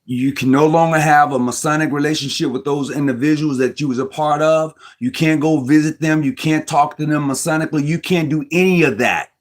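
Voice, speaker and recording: A man speaking as host of an informal talk, pressing his view with emphasis. His voice sits a touch high, and he stumbles and restarts words as he reasons aloud. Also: fairly even intonation, a little fast, few pauses. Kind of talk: casual Masonic discussion.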